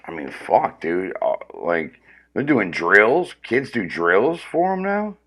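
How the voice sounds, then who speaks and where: A man with a very deep, forceful, powerful voice rambles through a profane comedic rant. He keeps restarting his sentences as he talks on a casual livestream. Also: very animated, slow pace.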